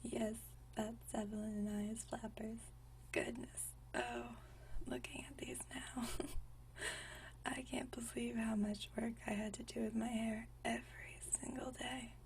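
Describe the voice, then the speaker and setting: A woman playing a gentle, nostalgic century-old vampire in a whispered ASMR roleplay, speaking softly and intimately with unhurried, genteel old-fashioned phrasing. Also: fairly high pitch, level tone, distant mic, a little hiss or hum, rough recording. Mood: fond, amused. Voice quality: soft breathy whisper.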